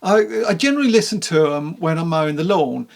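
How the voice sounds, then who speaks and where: A person giving a casual spoken book review to camera in a candid, rambling, conversational way, with a creaky voice. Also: mid-range voice, lively intonation, fairly quick.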